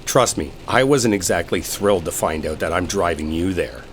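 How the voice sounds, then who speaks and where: A man's voice: low voice, lively intonation, moderate pace. A man playing an irritated, sarcastic coworker arguing as he drives, in a roleplay audio drama. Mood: bitter exasperation. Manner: irritated, sarcastic, swearing, argumentative. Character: exasperated, sarcastic male coworker arguing while driving. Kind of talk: enemies-to-lovers roleplay audio acting. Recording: rain and car noise behind. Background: rain storm and vehicle ambience.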